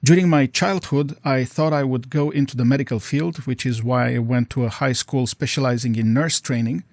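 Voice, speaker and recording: A man's voice: medium pitch, steady tone, darkish tone, dry recording. Romanian-born man, dry and formal. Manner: measured, formal phrasing. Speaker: guest professor. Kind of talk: formal interview answers.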